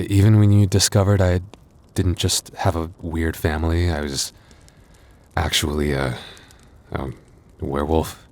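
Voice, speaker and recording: A man playing a gentle, anxious young werewolf boyfriend in an intimate audio roleplay, speaking softly and haltingly. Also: sits low. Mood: vulnerable, hopeful. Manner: intimate, hesitant, soft-hearted, halting.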